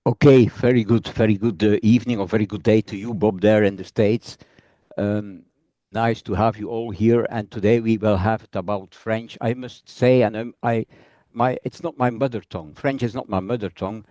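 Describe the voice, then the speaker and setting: A man's voice: lowish voice, fairly even intonation, moderate pace, mellow, dry recording. A Belgian man with a Flemish accent, a native Dutch speaker, giving a friendly presentation in English. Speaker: guest presenter. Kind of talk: presentation over video call.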